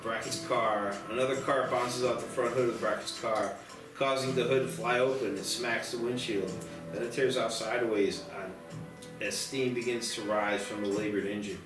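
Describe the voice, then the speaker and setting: A man narrates a screenplay's stage directions at a table read in a middle-pitched voice. He sounds roomy and a little away from the mic, with music clearly behind him. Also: fairly even intonation, moderate pace, far from the mic.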